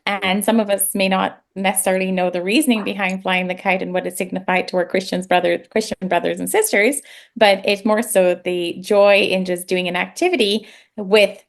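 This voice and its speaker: A woman's voice: mid-range voice, fairly even intonation, moderate pace, gravelly. A woman from Guyana chatting reflectively, rambling a little with fillers. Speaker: guest. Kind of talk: casual chat.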